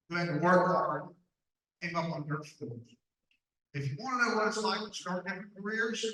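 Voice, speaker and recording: A man with a high, bright voice makes an earnest, personal campaign speech. He sounds distant in a reverberant meeting hall, with faint background noise. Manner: earnest, personal, speaks from his own life. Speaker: county commission candidate. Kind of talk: campaign speech at a candidate forum. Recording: echoey hall, distant mic.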